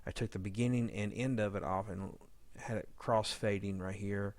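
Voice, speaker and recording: A man with a fairly low voice walks through an informal software demo. He thinks aloud as he goes, often muttering to himself while he works. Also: steady tone, normal speed, no room sound. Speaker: audio drama editor giving a tutorial. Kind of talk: informal screen-demo narration.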